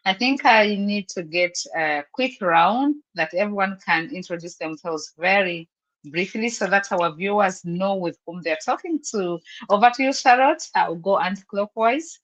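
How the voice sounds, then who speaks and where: A woman's voice: mid-range voice, expressive, moderate pace, rough recording. Ugandan woman, host of an online business chat, persuasive, heard over a video call. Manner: welcoming, persuasive, preaching tone.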